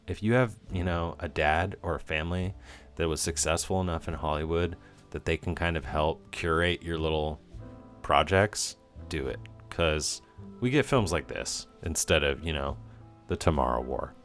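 Super low voiced young dude on a film podcast, lots of likes and ums, faint music behind. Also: male voice, fairly bright tone. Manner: halting, filler-heavy, chuckling. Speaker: podcast co-host. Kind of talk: casual film podcast chat.

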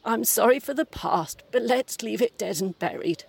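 A woman acts as a formidable old mother, dead but still bossy. Her voice is gravelly and husky, with a dry, fussy, genteel manner made for audio drama. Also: fairly high pitch, animated, normal speed, heavily acted. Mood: dry, teasing. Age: 70s. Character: domineering, sardonic old mother's ghost, 78. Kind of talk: audio play acting.

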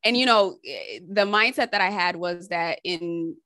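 Interviewee: woman, bright voice, reflective and circling, dry close mic. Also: on the low side, a little slow, dry recording. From Haiti, raised in Connecticut. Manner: thoughtful, rambling, many fillers and restarts. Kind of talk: casual oral history interview.

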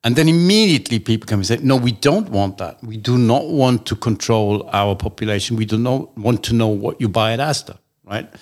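A man's voice: mid-range voice, brisk, few pauses. A man from Austria in a casual discussion, thinking aloud at length with frequent restarts.

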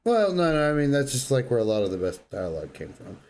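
A man co-hosts a podcast in an informal, bantering style. His voice sits at an average pitch, and he talks emphatically, repeating phrases to press his point. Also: sing-song, fast, a little mellow. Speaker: podcast co-host. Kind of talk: casual banter.

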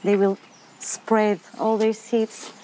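A woman talks in a warm, mellow voice, soft-spoken and pausing to find her words. She speaks outdoors on a farm. Faint outdoor noise sits behind her. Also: mid-range voice, level tone, rough recording. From Portugal. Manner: soft-spoken, searching for words, small laughs. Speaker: farm grower. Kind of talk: casual interview answers. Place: outdoors at a farm, weeding paths.

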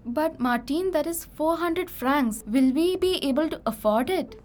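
A woman's voice: sits high, lively intonation, unhurried, darkish tone. A young woman playing a discontented, reproachful wife who longs for luxury, in an amateur radio drama. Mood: softened, wistful. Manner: reproachful, sighing, dissatisfied. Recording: noisy amateur recording.

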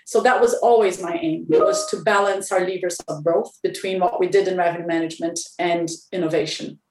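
Woman from Brazil, business guest, measured, thinking aloud, roomy distant sound. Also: on the low side, fairly even intonation, darkish tone. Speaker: company president, podcast guest. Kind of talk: business interview.

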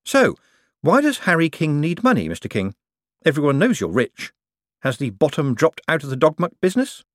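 An eager, polite young banker, as a man puts him on, pitched well above the narrator's own voice. He speaks quickly and keenly, with lively swings in tone. The sound is dry and close. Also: dry recording. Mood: wry.

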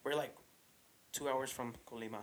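A man's voice: medium pitch, steady tone, moderate pace. A young man hosting a podcast in casual chat. He swears freely, laughs often and keeps calling his guest "bro.". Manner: loose and profane, laughs often, says "bro" a lot. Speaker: podcast host.

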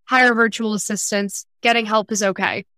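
Podcast guest, female, young adult. Forceful, clean tone, close dry sound, emphatic casual delivery. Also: mid-range voice, steady tone, normal speed, no echo. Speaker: podcast guest, freelance entrepreneur. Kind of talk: casual interview chat.

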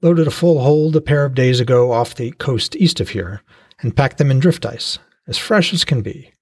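A man's voice: mid-range voice, lively intonation, normal speed, darkish tone, slightly rough, no echo, clean studio sound. A man voicing a boisterous, haggling male fish trader in a fantasy audiobook. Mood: friendly haggling. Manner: chatty, bargaining, exclaiming.

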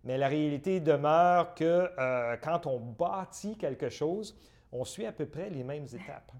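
A middle-aged man from Northern Ontario, answering interview questions in measured, list-like phrases with frequent hesitations. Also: fairly high pitch, a little mellow. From Northern Ontario, Canada. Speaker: guest, community centre director.

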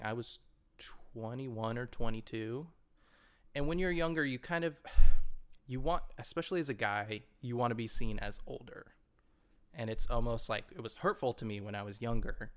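A man in his twenties, co-hosting a podcast, talking in relaxed, reflective, hedged run-on sentences. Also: mid-range voice, moderate pace, clear, resonant tone. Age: 20s. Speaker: podcast co-host. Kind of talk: casual chat.

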